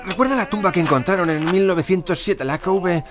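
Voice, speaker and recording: An actor playing a drunk, feverishly obsessed archaeologist in an audio drama, erratic and insistent. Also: male voice, high voice, brisk, lightly acted. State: acting drunk.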